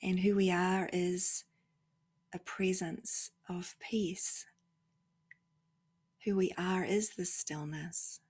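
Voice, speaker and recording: A woman gently guides a meditation in a breathy, slightly low voice. She speaks very slowly, leaving long pauses and often sinking into a soft near-whisper. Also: fairly even intonation. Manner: unhurried, with long pauses between phrases.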